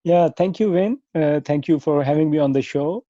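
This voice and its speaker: A man in his thirties with a Pakistani accent, a podcast guest answering in a halting, self-correcting way over a remote call. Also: on the high side, warm tone, no echo. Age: 30s. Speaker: podcast guest, software engineer. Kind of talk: conversational interview answers. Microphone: remote internet or phone call. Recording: dull lo-fi call audio.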